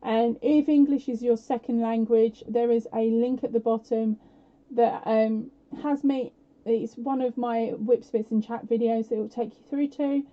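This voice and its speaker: A woman hosting a live prize quiz on her stream, chatty and self-deprecating with lots of fillers, her voice a little croaky. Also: fairly high pitch, steady tone, moderate pace. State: slightly croaky voice. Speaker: podcast host running a live prize quiz. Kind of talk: casual live-stream chat. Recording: dull lo-fi home stream audio.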